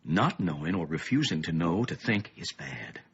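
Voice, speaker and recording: An actor playing a weary middle-aged, bookish father in a radio play, grave and thoughtful, with a husky voice and a measured, ominous way of explaining. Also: deep voice, sing-song, exaggerated voice. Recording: dull, muffled lo-fi radio play.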